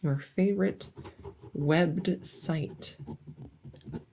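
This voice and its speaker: Friendly female monster under the bed, played by a woman, silly ASMR roleplay: mellow, low, soft-spoken, playful rambler. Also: very slow, creaky, a little hiss or hum, exaggerated voice, low-quality recording. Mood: chatty, curious.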